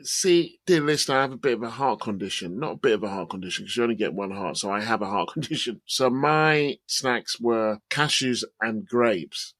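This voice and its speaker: A man's voice: medium pitch, a little fast, few pauses, no echo. A man co-hosting a podcast in casual chat, telling stories and acting out what others said. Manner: animated storyteller who acts out quoted voices. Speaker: podcast co-host.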